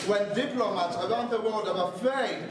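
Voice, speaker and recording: Young greek guy debating, high voice, sarcastic, echoey room far from the mic. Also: background noise. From Greece. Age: young adult. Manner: brisk, sarcastic, rhetorical. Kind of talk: competitive debate speech.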